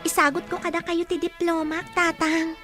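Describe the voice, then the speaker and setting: Very high-voiced, earnest teenage eldest daughter. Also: female voice, level tone, normal speed. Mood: grateful. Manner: tender, earnest. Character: grateful, dutiful teenage eldest daughter finishing high school. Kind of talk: Ilocano radio drama acting. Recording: music bed under the dialogue.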